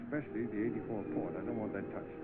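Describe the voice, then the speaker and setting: A rich, idle English gentleman, played by a man comes through a narrow, very noisy old film soundtrack. His speech is polite, clipped and determined, with the understatement of vintage British screen acting. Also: fairly low pitch, fairly even intonation, quick pace, natural voice. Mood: brisk, courteous.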